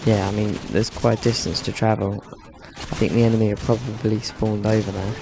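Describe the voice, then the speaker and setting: Flat-voiced man commenting gameplay. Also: lowish voice, moderate pace, gravelly, background noise. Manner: monotone, dry, many "um"s. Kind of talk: casual game commentary. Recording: game gunfire in the background.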